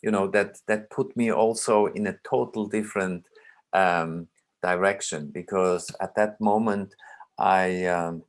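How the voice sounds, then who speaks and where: A man in his sixties, originally from Iraq, speaking as a film director at a remote panel, slow and halting with many fillers and restarts. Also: deep voice, steady tone.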